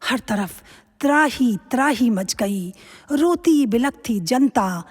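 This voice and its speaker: A woman narrating a mythological tale as scripted dramatic storytelling, expressive and deliberate. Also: fairly high pitch, husky. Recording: long reverberant hall echo.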